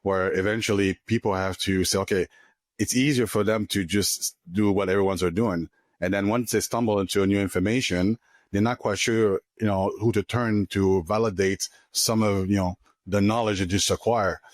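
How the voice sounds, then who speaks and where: Man explaining, low voice. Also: steady tone, normal speed, no room sound. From Quebec, Canada. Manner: earnest, loose grammar, many fillers like "you know". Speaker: financial coach, podcast guest. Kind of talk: casual interview talk.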